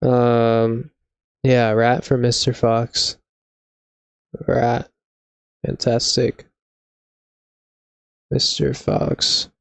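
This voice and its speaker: Streamer of unclear sex, unhurried pace, low-to-middle flat pitch, casual slangy chat, roomy sound, faint music. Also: gravelly, smooth. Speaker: video game live streamer.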